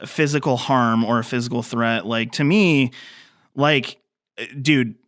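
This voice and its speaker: A man's voice: mid-range voice, steady tone, a little slow, a bit bright, dry recording, clean studio sound. A man in his thirties, a filmmaker guest on a podcast, talking casually and rambling with many fillers and restarts. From grew up in the mountains. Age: 30s.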